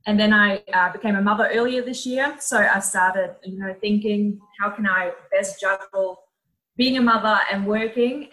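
A woman's voice: medium pitch, a bit bright, low-quality recording. A woman, a cheerful podcast guest explaining things casually. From Netherlands. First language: Dutch. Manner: chatty, cheerful. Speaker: podcast guest, social media coach. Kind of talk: casual explanatory interview talk.

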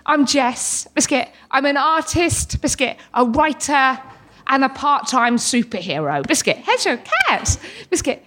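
British female live storyteller, thirties, high-pitched and very lively, playful delivery broken by frequent sudden verbal tics. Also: measured pace, a little hiss or hum. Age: 30s. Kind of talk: comic live storytelling to an audience. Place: live storytelling event with an audience.

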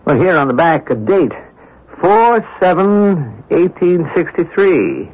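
A man's voice: a little high, sing-song, slow, darkish tone, husky, full character voice. A man acting in a radio drama as a suave, middle-aged rascal of a retired thief, glib, breezy and theatrical. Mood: affable, curious. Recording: dull lo-fi radio broadcast recording.

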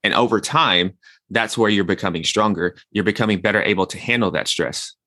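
A man's voice: on the low side, a little fast, bright, forceful tone, no room sound. A man in his thirties, a guest in an interview, talking reflectively and explaining ideas through everyday comparisons like gardening and baking. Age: 30s. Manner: reflective, uses everyday analogies. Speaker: guest, health consultant. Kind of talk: conversational interview.

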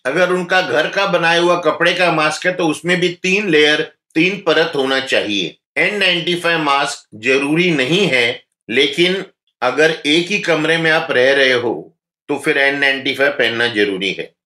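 Slow, calm male doctor. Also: a little high, roomy sound. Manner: calm, instructive, measured. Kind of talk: health advice interview.

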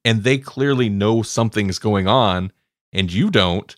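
A man co-hosting a casual review podcast, animated as he talks and voicing reactions. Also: lowish voice, a little slow, no room sound, studio quality. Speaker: podcast co-host.

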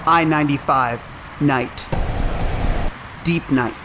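A man reading scripted screenplay narration as a narrator, in a measured, atmospheric storytelling manner. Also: a little high, background noise. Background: music.

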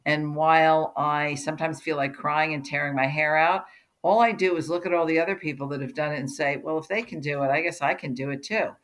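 A woman's voice: low-pitched, steady tone, brisk. A middle-aged woman from New Jersey whose first language was German, speaking earnestly as a podcast guest, emphatic and given to repeating words as she restarts. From New Jersey, USA. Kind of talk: conversational interview.

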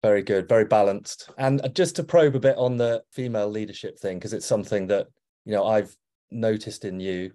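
A man hosting a business interview podcast, reading a formal introduction and then asking relaxed follow-up questions. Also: on the low side, brisk. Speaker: podcast host.

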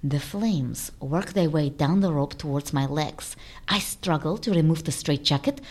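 A woman's voice: low voice, unhurried, darkish tone. An actress playing a proud, cool female escapologist in an audio drama, with a self-assured, dramatic manner.

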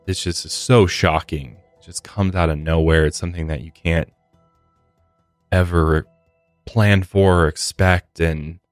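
A man's voice: deep voice, fairly even intonation, measured pace, no echo. A man with an American accent hosting a true crime podcast, moving between scripted storytelling and casual commentary in a somber manner. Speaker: podcast host. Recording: faint music behind.

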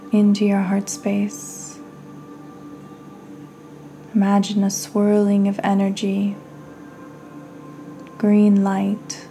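A woman hosting a guided meditation, speaking soothingly in short phrases with long pauses and breathy endings. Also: mid-range voice, dark tone. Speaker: podcast host and meditation guide.

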